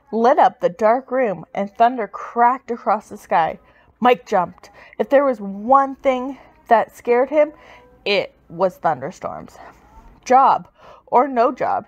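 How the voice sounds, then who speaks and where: A woman reading a children's story aloud with expressive, dramatic, emphatic delivery. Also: on the high side, lo-fi sound. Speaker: storybook reader.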